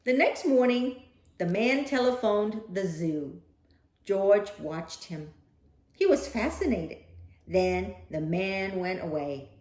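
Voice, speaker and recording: Female storyteller for children's read-aloud: very lively intonation, unhurried, playful and dramatic, recorded in an echoey room. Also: mid-range voice. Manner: animated, dramatic, with playful asides to a young listener. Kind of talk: expressive children's picture book read-aloud.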